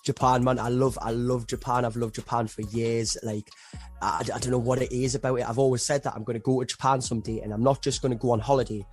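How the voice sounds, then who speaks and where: A man's voice: medium pitch, level tone, fast talker, faint music behind. A young English man with an English accent, a rapper guest on a podcast, talking earnestly and reflectively and saying "me" for "my". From England. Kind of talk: casual interview chat.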